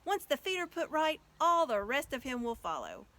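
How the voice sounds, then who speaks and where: Lady doing a big happy lion leader from a storybook, calling out orders, high and lively. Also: moderate pace, exaggerated voice. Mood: joyous. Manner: hearty, commanding, calling out. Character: great male lion, joyous commanding leader.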